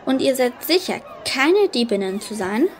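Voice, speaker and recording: A woman's voice: sits high, big pitch swings, moderate pace, a faint crowd, slight background noise. A woman playing a suspicious, authoritative policewoman in a children's audio drama. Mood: sceptical. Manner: stern, authoritative questioning.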